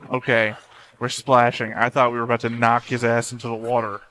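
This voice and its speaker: A man with a bright, forceful voice of ordinary pitch talks as a reaction host. His delivery is casual and blunt, like offhand commentary on something he is watching. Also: little pitch movement, brisk, a little hiss or hum, poor audio.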